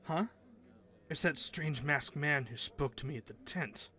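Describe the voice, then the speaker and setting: A man's voice: a little high, a little slow. A man playing a hot-headed young male fighter who talks brashly and impatiently, in a fan radio drama. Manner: impatient, brash, shouts battle cries.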